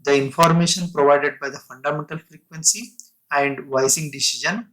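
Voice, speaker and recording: A man lecturing on technical material in a halting, explanatory way, breaking sentences into short phrases and restating terms. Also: fairly high pitch, fairly bright tone, rough recording.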